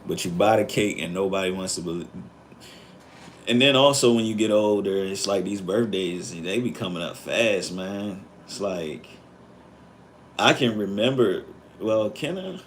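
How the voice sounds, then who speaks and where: A man's voice: on the low side, lively intonation, a little slow, a little hiss or hum. A man in his thirties chatting casually on a live stream, rambling and reflective, with lots of fillers. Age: 30s.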